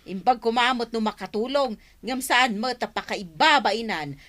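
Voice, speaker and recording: A woman's voice: on the high side, moderate pace, a bit bright, natural voice. A woman playing a chatty, nosy village food vendor in a radio drama, folksy and gossipy, with an Ilocano accent. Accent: Ilocano Filipino. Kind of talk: Filipino radio drama acting.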